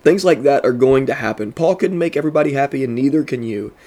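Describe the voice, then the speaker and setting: A man's voice: mid-range voice, fast talker, dark tone. A man who pastors and hosts a podcast, teaching earnestly with a congested voice and building emphasis on his points.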